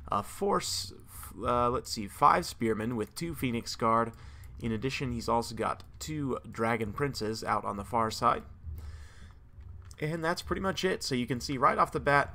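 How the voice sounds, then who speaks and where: A man with a slightly hoarse, strained voice casually commentating a strategy game battle, talking steadily with frequent "uh" and restarts. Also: mid-range voice, sharp tone. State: slightly hoarse, losing his voice.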